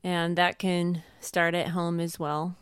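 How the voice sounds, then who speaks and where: A woman's voice: fairly low pitch, flat delivery, slow, smooth, no room sound, studio quality. A woman, a podcast co-host, chatting casually and encouragingly as she shares advice and anecdotes from home life. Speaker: podcast co-host, homeschooling mother.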